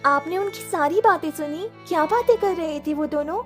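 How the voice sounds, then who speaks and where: Woman playing a high-voiced, gentle young wife. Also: moderate pace, soft background music. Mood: curious, concerned. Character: gentle, kind-hearted young village wife. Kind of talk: animated moral story dialogue.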